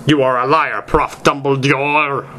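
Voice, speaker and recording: A man's voice: mid-range voice, fairly even intonation, unhurried, bright, forceful tone, voice pushed up. A man voicing an angry, melodramatic, foul-mouthed goth teenage girl in an amateur story reading, snapping indignantly. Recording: noisy amateur recording.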